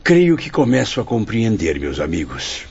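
An actor playing an inquisitive, courteous and earnest student spirit in a radio drama. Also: male voice, fairly low pitch, huge pitch swings, moderate pace, gravelly, slight background noise, full character voice. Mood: curious. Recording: dull lo-fi audio, music behind. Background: music.

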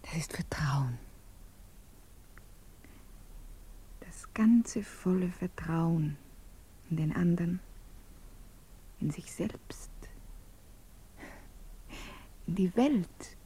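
A woman's voice: on the low side, expressive, takes it slowly, pauses often, warm tone, breathy edge, heavily acted, low-quality recording. Radio drama, married woman with a secret love: intimate, wistful, teasing, often whispering. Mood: hushed, loving. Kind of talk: German radio play acting.

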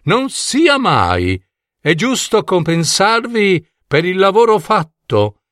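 A man's voice: sits high, very animated, takes it slowly, fairly bright tone, no room sound, clean studio sound, pitched above the performer's own voice, full character voice. A man voicing a gracious queen, a tender and stately mother, in a fairy tale. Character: gracious queen, a doting middle-aged mother. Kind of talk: fairy-tale storybook voice acting.

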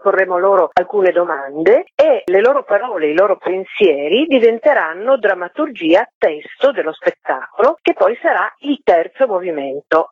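A woman's voice: lowish voice, breathy edge, dry recording. Woman on a tinny phone line. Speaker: theatre practitioner being interviewed. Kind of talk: explanatory radio interview.

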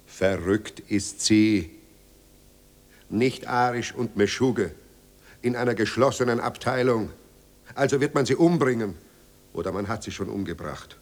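A man's voice: fairly low pitch, a little slow, pauses often. An actor in a German radio drama playing a proud, weary male writer, curt, formal and sardonic. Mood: bitter anguish.

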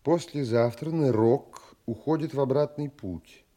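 An actor playing a pensive, tender gentleman who narrates his own story in an audio play, measured and reflective. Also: mid-range voice, natural voice.

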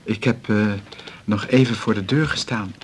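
An actor playing an embarrassed, insecure young student at the doctor's, hesitant and apologetic, in a naturalistic radio drama. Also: male voice, lowish voice, moderate pace, slight background noise, natural voice. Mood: nervous, polite. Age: young adult.

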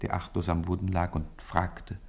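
A man narrating a 1950s radio play in a calm, measured storybook manner. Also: deep voice. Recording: 1950s radio studio recording.

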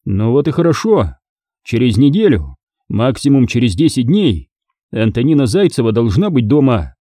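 A cold-blooded gangster from a crime audiobook, acted out by a man. He taunts and domineers with sardonic menace, his mellow voice moving freely up and down. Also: medium pitch, sing-song, moderate pace, dry recording, studio quality. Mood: cold, domineering. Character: menacing male thug, mocking, cold-blooded interrogator.

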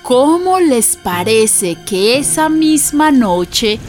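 Casting: Colombian countrywoman, high voice, slow dramatic storyteller, earthy and fearful, radio drama over music. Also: very animated, heavily acted. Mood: grave. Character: Juana, earthy, frightened village woman recounting violent events. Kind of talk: radio drama narration and dialogue.

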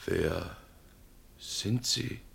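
Very deep, gravelly, frightened man. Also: a bit bright, full character voice. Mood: hushed dread. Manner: slow, deliberate, apprehensive. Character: frightened man woken at night, speaking quietly and clearly. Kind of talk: 1960 German radio play acting.

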